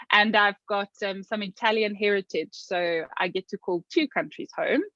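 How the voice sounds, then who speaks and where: A South African woman talking as an interview guest, earnest and reflective, often pausing with "um" and restarting her sentences. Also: medium pitch, sharp tone, dry recording, muffled recording. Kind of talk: interview conversation.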